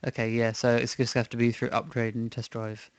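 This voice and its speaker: A man with a croaky, rough-edged voice talks his way through setting up a racing game on a live stream, relaxed and thinking aloud. His pitch sits in the middle, and the close microphone sounds dry, with no room around it. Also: fairly quick, no echo. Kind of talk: casual gaming stream chat. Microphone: desk microphone.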